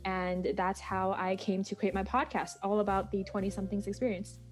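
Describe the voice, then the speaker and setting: A woman's voice: medium pitch, little pitch movement, fairly quick, soft background music. Chatty young woman in her twenties. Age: 20s. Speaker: student podcaster, guest. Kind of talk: casual panel conversation.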